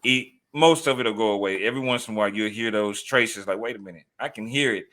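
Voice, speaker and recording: Male host, bright crisp voice, affirming, from texas, casual interview. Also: on the low side, fast talker. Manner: warm, affirming, relates personally.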